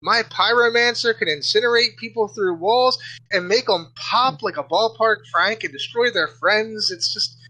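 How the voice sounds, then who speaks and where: A man's voice: sits high, normal speed, pushed and bright, poor audio. A man on a podcast panel giving a casual, enthusiastic rundown of video games. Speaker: games site editor-in-chief, podcast panelist. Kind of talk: casual enthusiastic game review chat.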